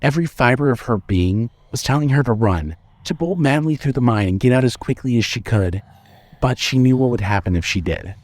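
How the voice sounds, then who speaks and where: A man narrating a scripted suspense story in a measured, dramatic storytelling manner. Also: mid-range voice, animated, a little mellow, dry recording.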